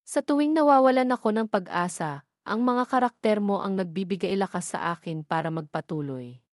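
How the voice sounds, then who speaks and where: A woman's voice: mid-range voice, moderate pace, no echo, clean studio sound, natural voice. A woman voicing a sweet, devoted young woman who is a comic fan, heartfelt, in an audio drama.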